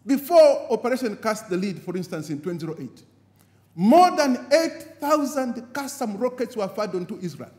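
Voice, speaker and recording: A man from Zambia giving an impassioned speech at a convention, with a preaching cadence that rises on key words and drops low at the end of each phrase. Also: very animated, normal speed, a little mellow. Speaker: guest speaker at a party convention. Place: large convention hall. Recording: long hall reverb from a convention venue.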